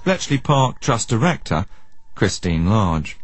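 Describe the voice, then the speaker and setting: Animated, husky man presenting a documentary. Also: on the low side, slow. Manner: wry, inquisitive. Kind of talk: scripted radio narration and probing interviews.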